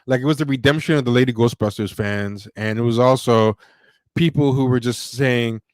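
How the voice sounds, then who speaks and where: A man's voice: mid-range voice, moderate pace, no room sound. A man hosting a casual livestream, reviewing movies in a rambling, opinionated way with lots of fillers and restarts. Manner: reads viewer donations aloud. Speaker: podcast host.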